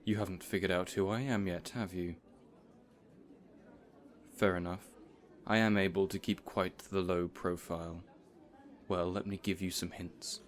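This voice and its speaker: A man playing a cold, menacing supervillain in an audio roleplay, speaking in a measured, formal, quietly threatening way. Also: low voice, level tone. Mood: cold menace.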